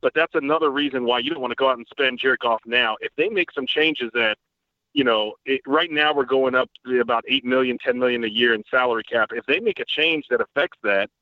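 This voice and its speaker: A man, a guest football writer, explaining salary-cap details in a fast, analytical way on a casual podcast. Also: medium pitch, no room sound, dull audio.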